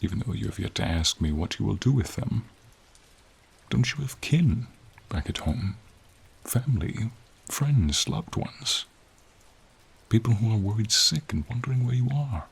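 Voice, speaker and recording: A man voicing a gentle, wise, lonely dragon in a slow, intimate ASMR fantasy roleplay, with a deep, gravelly, breathy voice and measured, pensive, formal phrasing. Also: lively intonation, heavily acted. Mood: gentle concern. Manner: measured, pensive, slightly formal, with frequent pauses. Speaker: voice actor in roleplay audio. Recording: rain or ambient noise behind. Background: light rain, outdoor ambience.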